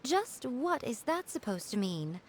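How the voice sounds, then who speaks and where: Haughty teasing fox spirit lady, poised game character, highish voice, bit of background noise. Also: sing-song, unhurried. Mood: indignant. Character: sly, haughty, self-assured female fox-spirit shrine maiden and publisher. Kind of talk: video game voice acting.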